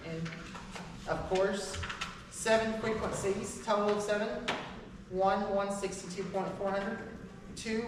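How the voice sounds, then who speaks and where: A woman giving an informal class, reading from a paper in a halting, list-like way. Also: mid-range voice. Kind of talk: informal presentation read from a paper. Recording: echoey hall from far off, noisy.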